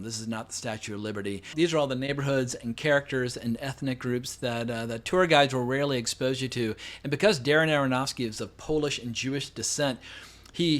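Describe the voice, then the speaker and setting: A middle-aged man giving a casual, enthusiastic film review, rambling at length and swearing freely for emphasis. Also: mid-range voice. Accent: non-local, not New York. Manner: long-winded, chatty, with frequent fillers.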